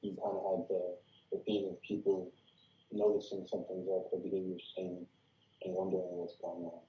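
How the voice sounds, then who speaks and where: A man's voice: low-pitched, monotone, brisk. A man giving casual feedback in a discussion, explaining himself in a hedging way. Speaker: workshop participant giving feedback. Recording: dull, roomy, echoing sound.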